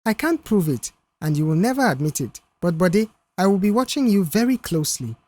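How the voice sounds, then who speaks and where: A narrator voicing a reserved young male mechanic in an audio story, speaking in a measured, firm and stern way. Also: mid-range voice, sing-song, a little mellow, no room sound. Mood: wary, warning.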